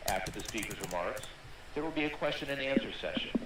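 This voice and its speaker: A male conference operator reads a formal scripted announcement in a mid-pitched voice. The sound is extremely echoey and somewhat distant, with clear noise around it. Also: lively intonation, fast. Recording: echoey distant call playback, noisy room.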